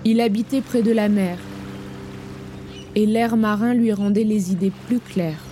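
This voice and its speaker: A woman narrating an audio drama in a measured, solemn storytelling voice. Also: mid-range voice, fairly even intonation. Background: piano music.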